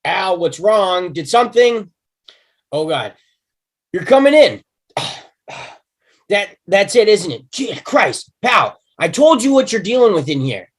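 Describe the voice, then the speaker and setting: A worried police sergeant pleading over a radio, as a woman reads him at a table read. His pitch swings widely and he speaks slowly, the voice a little breathy. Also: sing-song. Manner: anxious, pleading.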